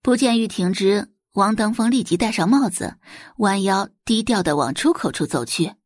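A woman's voice: mid-range voice, lively intonation, moderate pace, darkish tone, no room sound, studio quality. A woman narrating a scripted audio drama in a dramatic storytelling style.

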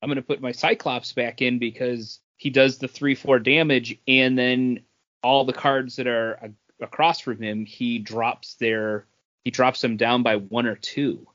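Male panelist, medium pitch, casual step-by-step explainer, lo-fi recording. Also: fairly even intonation, measured pace, fairly bright tone. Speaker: podcast panelist. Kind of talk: casual hobby chat. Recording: dull lo-fi audio.

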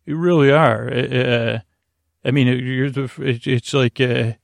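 Male sleep-podcast host: creaky mid-pitched voice, halting and digressive, rambling bedtime delivery. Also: no echo, studio quality. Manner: meandering, halting, drawn-out delivery with asides.

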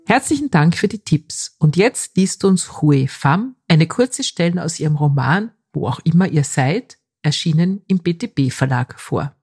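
A woman hosts a podcast in a low-pitched voice with a husky edge. She speaks in a scripted presenting style. Faint music sits behind her. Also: lively intonation, unhurried, few pauses, a little mellow, no room sound. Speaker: podcast host. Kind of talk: scripted show moderation.

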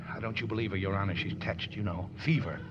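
An actor playing a scrappy, folksy riverboat skipper with rough grammar and a blustering manner in a 1950s radio drama. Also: male voice, fairly low pitch, quick pace, close to an everyday voice. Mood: evasive. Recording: noisy, muffled old radio recording.

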